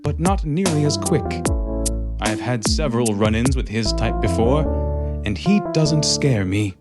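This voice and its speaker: A man voicing a small, sneaky, wary creature in an audio drama monologue, narrating with smug disdain over loud music. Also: mid-range voice, animated, measured pace, lightly acted. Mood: scornful.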